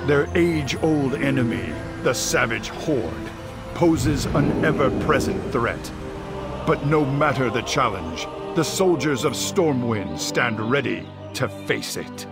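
A man narrating a heroic fantasy game in a solemn, grand, measured scripted style. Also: mid-range voice, lively intonation, loud music behind the voice.

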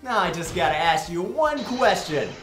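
A man's voice: high-pitched, big pitch swings, moderate pace, fairly bright tone, roomy sound, soft background music. A man playing a cocky, melodramatic young defense lawyer in a comedic game fandub, wisecracking and slangy. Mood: confident, dramatic.

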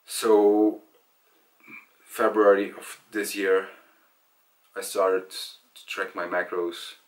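A young man talking casually to camera about himself, in a hesitant, halting way. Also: deep voice, flat delivery. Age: young adult. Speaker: vlogger. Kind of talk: casual personal storytelling to camera. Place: small indoor room at home. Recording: voice distant from the mic.

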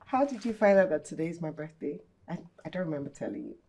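A woman's voice: sits low, lively intonation, quick pace, mellow, slightly rough. A woman playing an emotional young employee who exclaims and stumbles over her words. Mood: surprised. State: crying. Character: young female employee, emotional and easily moved. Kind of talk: amateur skit acting.

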